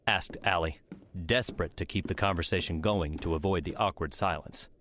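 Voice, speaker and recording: A man's voice: low voice, moderate pace, fairly bright tone, slight background noise. A man reading scripted story narration for an audiobook.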